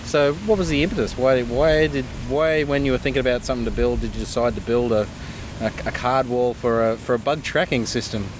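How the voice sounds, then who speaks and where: Fast-talking man outdoors. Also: mid-range voice. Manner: quick, chatty, with many fillers and restarts. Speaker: podcast host. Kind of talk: casual interview chat. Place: outdoors near a river and traffic. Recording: outdoor recording with clear street noise.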